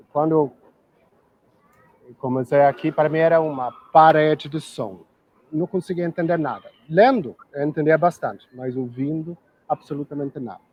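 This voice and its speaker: A middle-aged Swedish man with a Swedish accent, a guest in a casual chat, talking unhurriedly and pausing to search for words. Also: a little high, dull audio. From Sweden.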